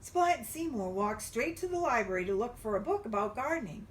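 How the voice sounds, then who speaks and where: A woman reading a picture book aloud to young children, expressively and with drawn-out emphasis. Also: on the high side, sing-song, far from the mic, a little hiss or hum. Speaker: children's storybook reader.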